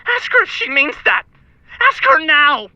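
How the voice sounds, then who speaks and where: A woman's voice: high-pitched, very animated, measured pace, bright, forceful tone, no room sound, lo-fi sound, heavily acted. A woman voicing a small, naive, insistent childlike character in a cyberpunk audio drama. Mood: urgent, insistent. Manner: insistent, simple phrasing.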